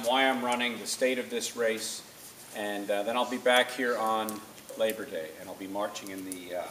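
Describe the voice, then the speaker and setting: Low-voiced man from northern New York. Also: noisy background. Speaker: congressional candidate. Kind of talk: campaign speech. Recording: echoey hall, voice from a distance.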